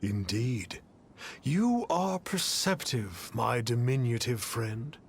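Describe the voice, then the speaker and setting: A man's voice: mid-range voice, lively intonation, takes it slowly, slight background noise. A man playing a pompous self-proclaimed immortal adept in a video game, speaking in grandiose archaic phrases. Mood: lofty, smug. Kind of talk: theatrical video game voice acting.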